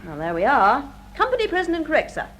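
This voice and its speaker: An actress with a British accent playing a playful, inquisitive assistant to an investigator in a radio drama. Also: female voice, high-pitched, huge pitch swings, fast, a bit bright, full character voice. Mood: playful, puzzled. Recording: noisy classic radio drama recording.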